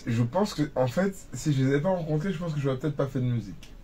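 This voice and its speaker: A man's voice: fairly high pitch, lively intonation, quick pace, mellow, slight background noise. A man in his twenties from Cameroon, a rapper talking about his start in music in a casual interview, rambling with many restarts and fillers. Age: 20s. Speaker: rapper guest.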